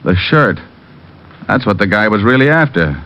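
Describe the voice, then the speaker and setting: A man's voice: on the low side, big pitch swings, normal speed. An American actor playing a witty, wry private detective in an old-time radio drama, narrating his own case. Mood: sharp, deducing. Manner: wry, sardonic. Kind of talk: 1940s-50s radio detective acting. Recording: dull old radio recording, background music.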